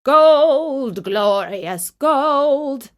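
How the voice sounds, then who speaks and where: A woman's voice: high-pitched, expressive, extremely slow, faint music behind, pitched above the performer's own voice, full character voice. A woman voicing a foolish, gold-greedy king in a dramatic, emphatic storybook reading. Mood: gloating. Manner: theatrical, emphatic. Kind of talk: children's storybook character reading.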